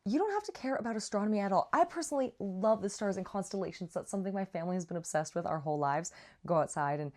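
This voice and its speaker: A woman's voice: mid-range voice, animated, fast talker, darkish tone. A woman giving a casual, chatty book review with slangy asides and playful, dramatic emphasis. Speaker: book reviewer, vlog host.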